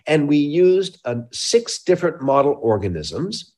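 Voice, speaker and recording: A man's voice: a little high, big pitch swings, measured pace, a little mellow. A man speaking as an expert scientist in an interview, explaining technical matters in a precise, emphatic way.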